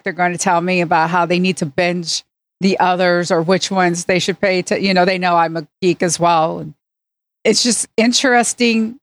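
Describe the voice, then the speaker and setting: A woman's voice: lowish voice, no echo. A woman co-hosting a podcast in a casual, conversational style. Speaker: podcast co-host. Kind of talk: casual chat.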